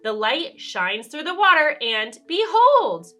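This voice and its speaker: Woman reading as an eager high-pitched storybook girl. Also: big pitch swings, takes it slowly, pushed and bright, exaggerated voice. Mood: eager, proud. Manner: eager, proud. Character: excited, knowledgeable girl explaining rainbows to a friend. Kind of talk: children's storybook character voice.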